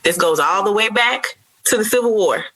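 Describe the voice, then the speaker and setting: Bright-voiced, candid American woman. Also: mid-range voice, expressive, normal speed, low-quality recording. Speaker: podcast guest. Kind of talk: casual history podcast conversation.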